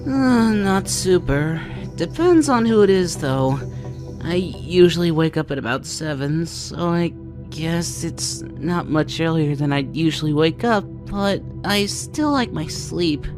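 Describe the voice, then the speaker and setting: A lowish, unhurried boy's voice, played by a woman, with varied pitch. The cartoon son is moody and reticent, easily embarrassed. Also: animated, over music. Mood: sleepy, casual. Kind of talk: animated cartoon acting.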